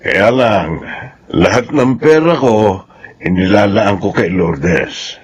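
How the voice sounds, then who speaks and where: An actor playing an older, wealthy boss and doting father in a Filipino radio drama, deliberate and fatherly in manner. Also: on the low side, animated. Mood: persuasive.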